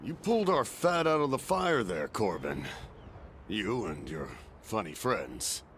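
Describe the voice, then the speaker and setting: An actor playing a hearty, warm-hearted old skipper in a video game, talking in folksy sailor phrases. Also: male voice, on the low side, very animated, measured pace, a little hiss or hum, full character voice. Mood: grateful, subdued. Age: older. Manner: folksy nautical drawl of phrasing. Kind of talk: video game cutscene acting.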